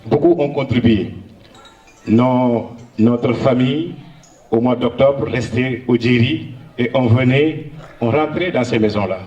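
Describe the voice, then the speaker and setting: A man, a Soninke speaker, addresses a gathering in slow, earnest phrases broken by hesitant fillers. His voice sits at an ordinary pitch and carries a roomy echo, picked up from some distance from the mic. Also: darkish tone, lo-fi sound. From Senegal. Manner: earnest, measured oratory with frequent hesitations. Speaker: honoree giving a public speech. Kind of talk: public speech at a local ceremony.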